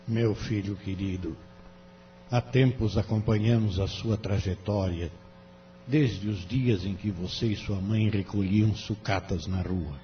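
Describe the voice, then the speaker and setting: A man's voice: on the low side, a little slow, natural voice. A man playing a benevolent, fatherly guardian spirit in an audio drama, speaking with solemn, oratorical consolation and a Brazilian accent. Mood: solemn, consoling. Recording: dull lo-fi audio with music behind.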